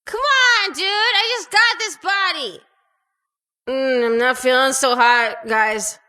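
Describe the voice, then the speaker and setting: A woman voicing an excitable, childlike, malfunctioning robot in a video game, babbling sillily and stammering in exclamations. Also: high-pitched, takes it slowly, clean tone, heavily acted. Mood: startled. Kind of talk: video game character voicelines.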